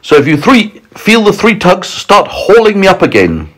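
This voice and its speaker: A man's voice: high voice, huge pitch swings, moderate pace, fairly bright tone, pitched above the performer's own voice, heavily acted. A man voicing a brave young boy in a storybook, urgently calling out orders. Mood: urgent instructing. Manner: urgent, exclaiming. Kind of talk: storybook character voice.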